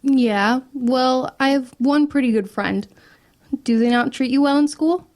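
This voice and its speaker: A woman as a wry, high-voiced teenage girl. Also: measured pace, darkish tone. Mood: casual, teasing. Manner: casual, dry, offhand. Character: guarded, wry teenage girl, protective older sister. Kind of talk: audio drama acting.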